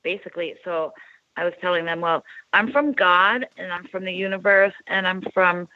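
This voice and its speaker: A woman's voice: fairly low pitch, moderate pace, no room sound. A woman from Long Island, New York, shares her faith story as a radio guest, rambling and hesitating over her words. Her voice comes through muffled and lo-fi, with a dry, close sound. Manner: rambling, hesitant, many fillers. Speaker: interview guest. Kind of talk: telling a personal faith story.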